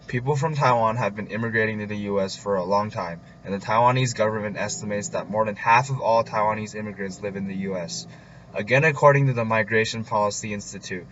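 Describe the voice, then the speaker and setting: A man's voice: on the low side, fairly bright tone, muffled recording, slight background noise. A man, a student presenter, reading scripted narration of a school report aloud.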